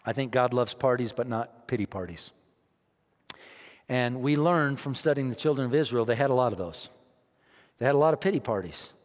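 A man preaching a sermon as pastor, emphatic, repeating his phrases and swinging between quiet and loud. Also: medium pitch, expressive, a little fast, pauses often, long reverb tail. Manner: emphatic, repeats phrases, rises and drops in loudness.

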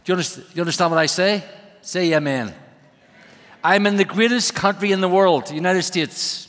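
A middle-aged man with an Irish accent preaches with a high, bright, ringing voice. He jokes and then rises to emphatic calls. His words echo with the long reverb of a big church hall. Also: normal speed. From Ireland. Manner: joking, then rousing and emphatic. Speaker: guest preacher, missionary. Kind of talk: humorous sermon. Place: large church sanctuary.

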